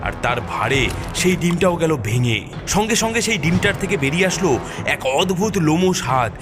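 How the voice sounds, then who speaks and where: A man's voice: on the high side, big pitch swings, normal speed. A man narrating a scary cartoon story in a dramatic, suspenseful, scripted storytelling manner. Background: prominent background music.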